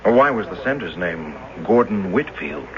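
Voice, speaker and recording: An actor playing an earnest, stubborn husband with a deliberate manner in a mystery radio drama. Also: male voice, fairly low pitch, expressive, a crowd in the background, close to an everyday voice. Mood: skeptical. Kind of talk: old-time radio drama acting. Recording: muffled noisy radio drama recording.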